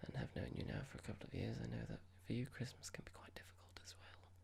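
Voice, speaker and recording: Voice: medium pitch, gravelly. Someone acting a whispering, condescending, menacing boss. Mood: mock-sympathetic. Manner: slow, patronising, deliberate, with pointed questions. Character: horrible condescending office boss, coldly menacing, mock-polite. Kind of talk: whispered ASMR roleplay.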